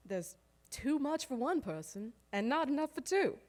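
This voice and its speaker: A woman's voice: high voice, big pitch swings, moderate pace, darkish tone. A woman playing a harried, proud old-time household woman, telling a wry, emphatic theatrical monologue that lingers over its lists. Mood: wry, exasperated. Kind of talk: theatrical storytelling monologue on stage. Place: live stage performance in a recreation hall.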